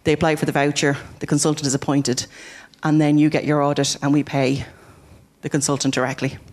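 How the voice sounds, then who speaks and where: Energy agency person rattling off grant details, fast and flat. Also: medium pitch. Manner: brisk, explanatory. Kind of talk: explaining grants in an interview.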